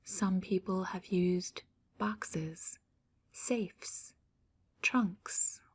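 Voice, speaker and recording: Breathy, slow-speaking woman meditation guide. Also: on the low side. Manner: soft, breathy, near-whispered delivery; slow, calm, gentle pauses.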